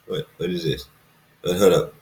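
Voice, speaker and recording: A man reading a story aloud informally, halting and casual, with dramatic pauses and asides to listeners. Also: low-pitched, steady tone, darkish tone. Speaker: fan fiction narrator reading own recording. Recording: roomy, echoey, distant microphone.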